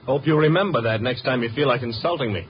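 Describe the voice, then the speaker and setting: An actor playing a streetwise detective's sidekick, wry, breezy and slangy, in a 1950s radio drama. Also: male voice, mid-range voice, fast talker, close to an everyday voice. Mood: wry, puzzled. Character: wisecracking, streetwise male detective's legman, loyal sidekick. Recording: dull, hissy 1950s radio recording.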